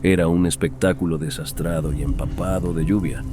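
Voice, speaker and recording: A man's voice: deep voice, fairly even intonation, a little fast, noisy background. A man reading a horror tale as a dramatic audiobook narrator. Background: background music.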